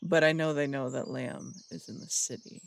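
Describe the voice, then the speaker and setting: A man's voice: on the high side, fairly even intonation, moderate pace, vocal fry, smooth. A man playing a blunt, distrustful city detective who speaks in curt, suspicious questions. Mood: grim. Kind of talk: audio roleplay drama acting.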